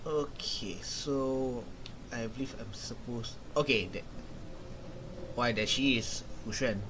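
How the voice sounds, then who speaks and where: A young man hosting a game playthrough, reading dialogue aloud haltingly and commenting casually. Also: mid-range voice, background noise. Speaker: gaming video host.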